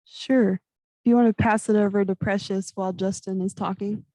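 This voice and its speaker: A woman chairing a student government meeting over a video call, brisk and upbeat as she calls on people. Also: mid-range voice, steady tone, dark tone, no room sound, low-quality recording. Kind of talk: running a meeting.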